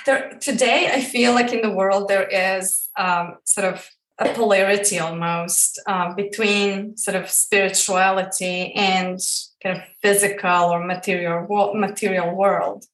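A woman from Croatia, a guest in an interview, talking about her life in a searching way, with many pauses and restarts. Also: mid-range voice, steady tone, fairly bright tone, roomy sound, far from the mic, rough recording. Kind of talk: reflective personal interview.

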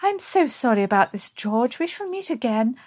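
A woman's voice: high voice, very animated, moderate pace, a little mellow, husky, exaggerated voice. A woman voicing a haughty, prim aristocratic lady who exclaims imperiously in a bawdy period storybook. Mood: gracious.

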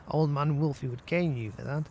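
A man playing a cheeky, bossy young schoolmate in an audio drama, teasing and quick to wriggle out of blame. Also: on the high side, a little mellow, a little hiss or hum, lightly acted. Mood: cocky, teasing.

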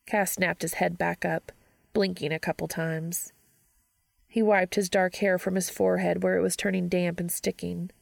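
A woman's voice: fairly low pitch, little pitch movement, moderate pace, gravelly, no echo. A woman reading scripted fiction aloud as a narrator.

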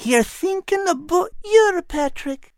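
Storybook female dog, played by a man: sweet, eager, very high and bouncy. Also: animated, takes it slowly, dry recording, clean studio sound, exaggerated voice. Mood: sweet, caring. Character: sweet cheerful female Scottish dog, loyal friend.

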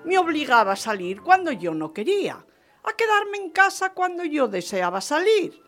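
A woman's voice: high-pitched, sing-song, moderate pace, a bit bright, soft background music. A woman playing an exuberant, vengeful French marquise in radio theatre, theatrical and gushing, repeating phrases for emphasis. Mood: conspiratorial, indignant. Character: exuberant, scheming, vengeful French marquise, gleeful about her marriage. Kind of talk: radio theatre acting of a period story.

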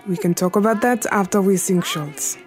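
A woman acts out a female gangland boss in a period radio drama. She is imperious, shrewd and decisive, giving clipped orders in a mid-pitched voice, with music underneath. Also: moderate pace, close to an everyday voice. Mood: steely. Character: commanding, shrewd woman crime boss and mother.

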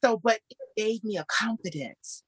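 Hoarse man with a light, husky voice. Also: normal speed, no room sound, low-quality recording. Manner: animated, repeats phrases, jumps high for quoted voices. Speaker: guest musician. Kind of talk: casual interview storytelling.

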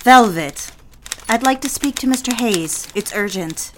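A woman's voice: on the high side, lively intonation, measured pace, a little hiss or hum. A woman playing a lovelorn, petulant young housewife and mother in a period audio drama, pleading and wistful with old-fashioned phrasing. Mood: curt, insistent.

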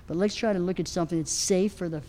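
A soft-spoken woman answering questions on a live panel, rambling with lots of fillers and restarts. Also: fairly low pitch, rapid-fire, slight background noise. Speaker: equine nutrition expert answering questions. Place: outdoor event festival.

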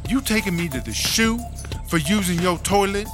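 A man's voice: sits high, expressive, moderate pace, music in the background. A man playing Chartreuse, a brash, foul-mouthed, dramatic female prison inmate, in a slangy, exclaiming drawl for a comedy audio drama. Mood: pleading, indignant. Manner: slangy, melodramatic, grumbling.

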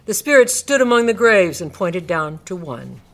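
Expressive woman narrator, dramatic storytelling. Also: lowish voice, moderate pace. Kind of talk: dramatic storytelling, live radio reading of a classic.

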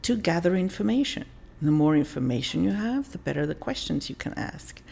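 South African woman in her sixties, gravelly. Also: lowish voice, big pitch swings, brisk, dark tone. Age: 60s. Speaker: life and leadership coach. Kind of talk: podcast interview.

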